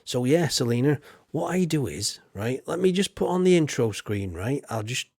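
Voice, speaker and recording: A man's voice: mid-range voice, lively intonation, normal speed, vocal fry, a little mellow, husky, no room sound, clean studio sound. A man hosting a livestream, chatting to viewers in a rambling, folksy way full of filler. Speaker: livestream host. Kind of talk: casual livestream chat to viewers.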